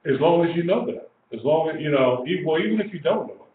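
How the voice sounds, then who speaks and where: A man's voice: a little high, lively intonation, fast talker. Older man teaching on a tinny webcam. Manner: emphatic, repeats phrases for emphasis. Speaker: religious teacher. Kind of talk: informal sermon, teaching to a small group. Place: small indoor room. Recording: echoey, tinny lo-fi webcam stream with hiss.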